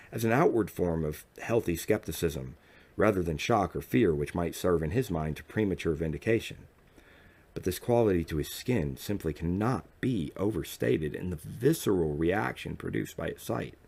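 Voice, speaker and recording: A man with a low-pitched voice narrates literary fiction in the first person. His delivery is formal and measured, placing phrases deliberately and pausing for dramatic weight. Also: a little mellow, breathy edge. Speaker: audiobook narrator.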